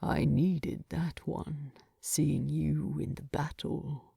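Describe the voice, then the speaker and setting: Wounded dwarf king played by a woman, storybook fantasy: lowered, breathy rumble, gruff and fond. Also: fairly even intonation, slow pace, mellow, no echo, clean studio sound, heavily acted. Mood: weary, chiding. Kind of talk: fan fiction audiobook character voice.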